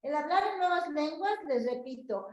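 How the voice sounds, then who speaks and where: Woman sermon interpreter, high voice, echoey room. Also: expressive, normal speed, darkish tone, lo-fi sound. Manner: fluent, repeats and expands, addresses brethren.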